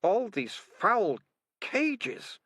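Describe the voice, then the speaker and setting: A man voicing a bossy, sharp-tongued young girl who snaps at others. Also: sits high, big pitch swings, very slow, no echo, clean studio sound, pitched above the performer's own voice, full character voice. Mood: scornful. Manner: haughty, snapping.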